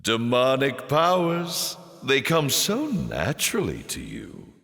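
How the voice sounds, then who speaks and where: A man playing a suave, mocking demon lord in a video game, with a silky, pompous, sardonic delivery and drawn-out teasing. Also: mid-range voice, wildly swooping pitch, gravelly, fairly bright tone, heavily acted. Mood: sly, teasing. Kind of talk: theatrical video game villain voice acting. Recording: echoing, cavernous reverb.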